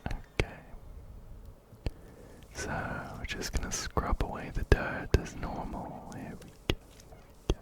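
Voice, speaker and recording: A man whispering ASMR game commentary very close to a binaural mic, gently repeating his phrases. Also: takes it slowly. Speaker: ASMR host playing a video game. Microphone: close binaural microphone, ear to ear.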